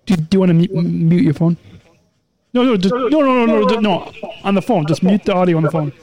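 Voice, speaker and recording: A man's voice: sits high, fast talker, a crowd in the background, low-quality recording. A man co-hosting a casual podcast, signing off with repeated, stumbling, upbeat plugs. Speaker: podcast co-host.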